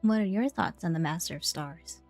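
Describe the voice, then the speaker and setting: An actress voicing a dry-witted young traveler with deadpan remarks. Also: female voice, fairly low pitch, moderate pace, creaky. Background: game music.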